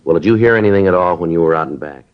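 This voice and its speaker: Actor playing a terse police sergeant, very deep voice, rapid clipped delivery, dull lo-fi radio sound. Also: male voice, clear, resonant tone, close to an everyday voice. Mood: questioning. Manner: clipped, flat, matter-of-fact delivery. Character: terse police sergeant, middle-aged man, dry and businesslike. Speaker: detective and narrator. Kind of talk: 1950s radio drama acting. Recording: dull lo-fi old radio recording.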